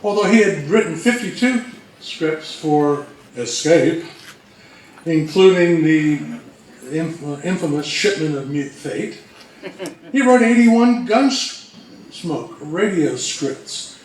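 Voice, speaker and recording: Slow, higher-voiced man in a very echoey room. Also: expressive, a little mellow. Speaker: co-presenter at a fan convention talk. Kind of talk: informal lecture on old radio history. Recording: very echoey room, distant mic, faint noise.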